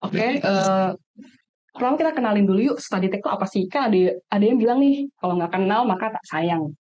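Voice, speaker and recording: A woman's voice: medium pitch, lively intonation, brisk, a little mellow. Indonesian woman hosting, in dull audio. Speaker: webinar host. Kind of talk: casual, chatty event hosting. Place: online webinar call.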